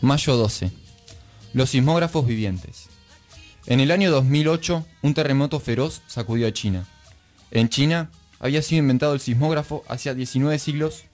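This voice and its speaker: A man's voice: mid-range voice, darkish tone. Argentine man on radio, music behind. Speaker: radio show co-host. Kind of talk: casual radio talk and reading aloud. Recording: music playing under the voice. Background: background music.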